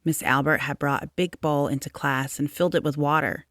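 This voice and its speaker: A woman reading a children's story aloud as narrator, in a measured, expressive storytelling manner. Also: sits low, dry recording, studio quality. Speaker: storybook reader.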